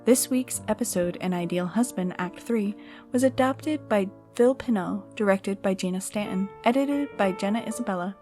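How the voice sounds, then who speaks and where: A woman announcer reading scripted production credits over music. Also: mid-range voice.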